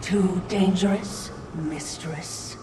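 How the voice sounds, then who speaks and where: A deferential servant in a fantasy game. Her voice is low and slow, with a curious, questioning manner. She sounds off the mic in an echoing space. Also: fairly even intonation. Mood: curious, wary. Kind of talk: dramatic video game cutscene acting. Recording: distant, reverberant, clearly noisy game capture.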